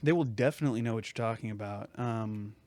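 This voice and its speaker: A man's voice: lowish voice, fast, a little mellow, no echo. Teasing young man, podcast banter. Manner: teasing, slangy, repeats phrases for effect. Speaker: podcast co-host. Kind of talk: casual comedic podcast banter.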